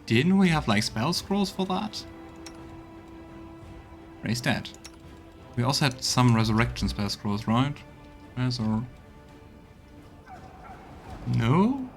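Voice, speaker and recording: A man's voice: medium pitch, big pitch swings, slow, pauses often, faint music behind. Man doing wry, casual game commentary. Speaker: game streamer. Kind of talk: casual live let's play commentary.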